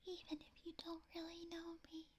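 A woman voicing an obsessive, doting young woman who has slipped into someone's room, speaking in a soft close whisper with stammering restarts. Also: very high-pitched, completely flat delivery, normal speed. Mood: pleading. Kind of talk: whispered ASMR roleplay.

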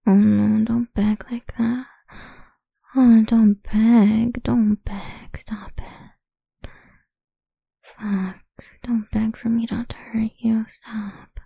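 A domineering, cruel woman taunts in a hushed, crude whisper, as a woman puts her on. Her voice is dark and full of gravelly fry. It moves slowly with little rise and fall, and it sits dry and close with no room around it. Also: a little high, little pitch movement, dry recording, full character voice. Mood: menacing. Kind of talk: whispered audio roleplay.

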